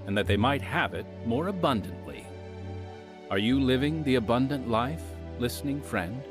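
A man announcing a radio program in polished, measured scripted narration. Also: mid-range voice.

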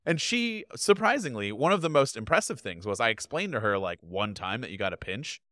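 A man in his thirties, a guest on a video podcast, talking casually and animatedly into a boom microphone in his home studio. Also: fairly quick, no room sound. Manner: animated, gestures a lot while talking. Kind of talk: casual chat. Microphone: podcast mic on boom arm.

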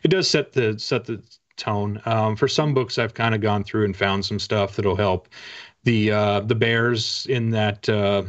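A middle-aged man chatting casually as an interview guest, telling stories in a halting, rambling, humorous way. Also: fairly low pitch, steady tone, dull audio. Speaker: interview guest, author and illustrator. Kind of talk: casual chat on a video interview. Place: indoor room lined with bookshelves.

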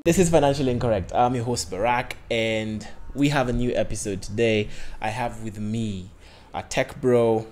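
A man in his thirties hosting a podcast interview, relaxed, backing his guest with frequent short affirmations. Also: medium pitch, moderate pace, music in the background. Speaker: podcast host. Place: indoor studio lounge set. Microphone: handheld or boom podcast mic.

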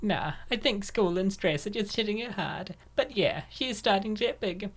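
Woman acting gossipy young friend, mid pitch. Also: animated, normal speed. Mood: gossipy concern. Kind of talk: amateur comic dub acting.

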